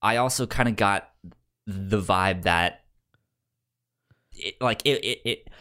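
A man chatting casually as a podcast host, halting and often repeating the starts of his words. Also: lowish voice, bright, forceful tone. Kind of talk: casual chat about comics.

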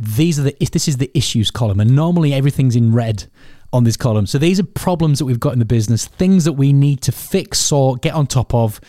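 A man's voice: medium pitch, warm tone, no echo, clean studio sound. A man hosting a solo podcast, sniffling from a cold as he candidly explains his planning in a thinking-aloud way. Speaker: podcast host and business owner.

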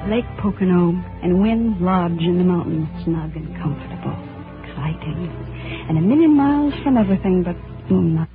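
A woman's voice: lowish voice, expressive, moderate pace. Wistful working girl in love, old-time radio romance on a thin vintage broadcast. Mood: wistful, reflective. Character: Kitty, wistful working-class young woman in love with her boss. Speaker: actress narrating and playing lead. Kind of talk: 1940s radio drama acting. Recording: tinny vintage radio broadcast, music behind.

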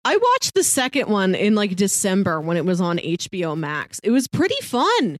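A woman's voice: mid-range voice, wildly swooping pitch, moderate pace, dry recording. A woman chats casually as a podcast co-host about films, with a mid-range voice in a very dry, close recording. She has the wry, playful manner of a keen fan. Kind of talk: casual movie chat.

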